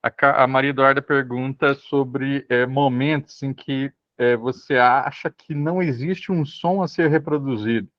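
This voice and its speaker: A man's voice: medium pitch, steady tone, a little slow, few pauses, no room sound. A man with a Brazilian accent moderating an online workshop, informally relaying questions from participants. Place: on a video call. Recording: dull lo-fi online call audio.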